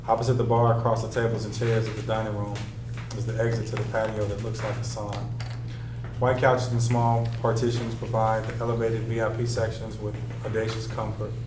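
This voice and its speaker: There is clear room noise and echo around this man's voice. He is somewhat low in pitch, narrating stage directions from a screenplay at a table read. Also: little pitch movement, a little fast. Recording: echoey room, distant mic, noisy ambience.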